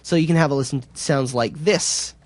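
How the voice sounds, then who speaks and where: A man presenting a software tutorial in a casual, informal way, explaining step by step a little hesitantly. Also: on the high side, a little fast, noisy background.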